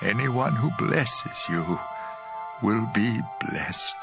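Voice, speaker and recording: An aged patriarch with a creaking, gravelly voice. He speaks very slowly and solemnly, like a father handing down a blessing in a scripture drama. Music plays clearly underneath. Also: lowish voice, expressive, heavily acted.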